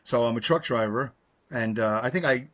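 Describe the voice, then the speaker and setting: A man's voice: mid-range voice, normal speed, a bit bright. A man, chatting casually on a video call, rambling with lots of fillers and self-deprecating humor. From Brooklyn, New York. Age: 60s. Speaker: podcast co-host. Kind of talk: casual chat. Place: his home.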